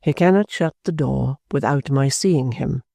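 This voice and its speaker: A man reading an audiobook, voicing an earnest, formal gentleman who speaks in a measured, reassuring way. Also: mid-range voice, vocal fry, no echo. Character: earnest gentleman, calm, persuasive. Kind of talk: audiobook character voice.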